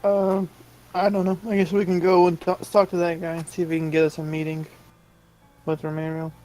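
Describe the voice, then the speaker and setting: A man's voice: on the high side, monotone, fairly quick, a little mellow, soft background music, low-quality recording. A man talking casually as a player in a tabletop roleplaying game.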